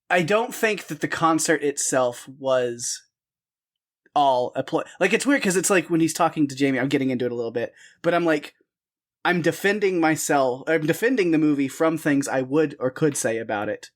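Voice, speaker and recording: A man co-hosting a film podcast, talking casually with frequent restarts and little laughs. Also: fairly high pitch. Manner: rambling, restarts, self-deprecating laughs. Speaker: podcast co-host. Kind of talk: casual chat.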